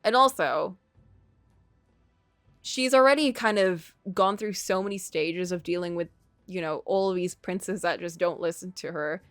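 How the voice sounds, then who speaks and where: A woman's voice: on the low side, unhurried, smooth, dry recording, soft background music. Dry close-sounding woman streamer, casual commentary. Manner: conversational, opinionated, thinking aloud. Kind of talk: casual live-stream commentary on a story game.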